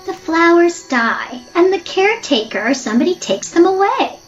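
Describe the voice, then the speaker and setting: Woman acting a high-voiced scolding sister, slightly muffled. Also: sing-song, moderate pace, soft background music. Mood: chiding. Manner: chiding. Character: earnest, easily spooked young sister, scolding and exasperated. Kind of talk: audio drama acting. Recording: dull lo-fi audio, faint noise.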